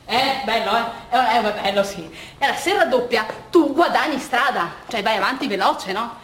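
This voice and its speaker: An actress playing an agitated, rambling woman in a bittersweet theatre monologue, explaining in a halting, repetitive, animated way to her audience. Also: on the high side. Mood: excited, wry. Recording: roomy, distant stage recording, faint noise.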